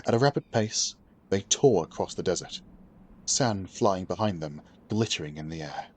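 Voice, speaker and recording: A man narrates a fantasy audiobook in a steady, even storytelling manner. His voice sits fairly low. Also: normal speed.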